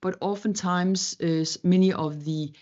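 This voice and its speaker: A woman's voice: lowish voice, fairly even intonation, a little slow, a little mellow, dull audio. A woman from Denmark, speaking as a panelist in an academic webinar in an earnest, reflective way, searching for words.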